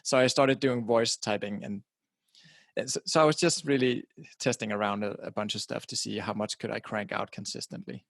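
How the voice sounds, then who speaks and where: A man's voice: on the low side, steady tone, normal speed, vocal fry, no echo. A middle-aged man from Denmark, not a native English speaker, talking casually as a podcast guest into a close podcasting mic, often repeating words as he restarts. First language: Danish. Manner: casual, repeats words at the start of phrases, laughs now and then. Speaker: guest, website publisher. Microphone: directional podcasting mic close to mouth.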